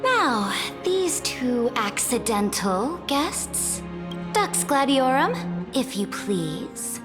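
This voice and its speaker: Ruthless game empress: high, sweeping pitch, haughty and commanding, in a reverberant hall. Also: female voice, sing-song, heavily acted. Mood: cold, commanding. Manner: commanding, deliberate, haughty, theatrical. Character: imperious young female empress, cold, proud, ruthless conqueror. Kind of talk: dramatic video game voice acting. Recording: long hall reverb, faint music behind.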